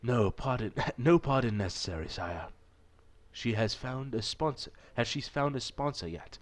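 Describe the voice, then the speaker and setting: A man voicing a rich, generous gentleman in a visual novel, with a formal and self-assured manner. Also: mid-range voice, expressive, normal speed, lightly acted. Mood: courteous, eager. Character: rich, suave young gentleman patron, generous and teasing.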